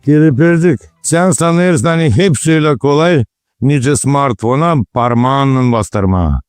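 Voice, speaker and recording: A man's voice: mid-range voice, slow, clear, resonant tone, dry recording, clean studio sound, natural voice. A man voicing a wise, folksy village grandfather in a cartoon, explaining old ways. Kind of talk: cartoon character dialogue. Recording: music behind the dialogue.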